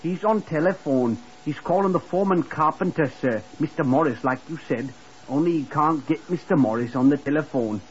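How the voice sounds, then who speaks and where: A man's voice: on the high side, brisk, close to an everyday voice. An actor playing a naive, talkative hotel lift boy from Lancashire, eager and deferential, in a 1950s radio drama. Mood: eager, chatty. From Blackpool, Lancashire, England. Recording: muffled, hissy 1950s radio recording.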